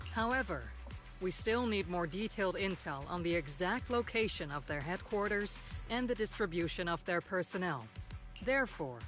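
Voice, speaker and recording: A woman voicing a stern military colonel in a video game, measured and formal as if briefing troops. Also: mid-range voice, fairly bright tone, natural voice. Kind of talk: scripted video game acting.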